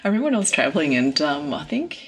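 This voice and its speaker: A woman's voice: low voice, very animated, very fast, breathy edge. A woman co-hosting a casual discussion, speaking informally and stressing points with repetition. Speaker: podcast co-host.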